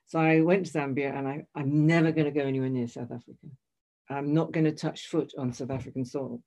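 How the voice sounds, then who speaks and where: A woman's voice: a bass voice, fairly even intonation, brisk, mellow. An older woman telling a long personal story in conversation, hesitant, restarting and correcting herself often. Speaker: guest.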